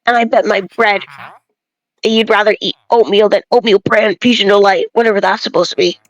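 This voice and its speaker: A woman's voice: on the high side, dull audio, lightly acted. A woman reading aloud as an opinionated, folksy male bread enthusiast who rambles and grumbles through game dialogue.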